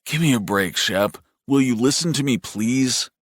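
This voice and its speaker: A man's voice: medium pitch, animated, moderate pace, no echo, studio quality, lightly acted. A man voicing a patient, protective older brother in an audiobook, coaxing and reassuring. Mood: exasperated, coaxing. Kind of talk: audiobook character voice.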